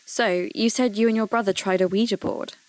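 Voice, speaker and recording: A woman playing a polite, curious radio host who investigates mysteries for a podcast, speaking in a measured, questioning way. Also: mid-range voice, steady tone, a little mellow, no room sound, close to an everyday voice, rough recording. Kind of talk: scripted narration and phone interview.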